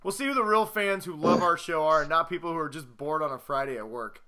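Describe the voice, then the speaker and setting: Bright teasing male podcast host. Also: a little high, fast. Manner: joking, teasing, animated. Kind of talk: casual banter. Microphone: video podcast mic.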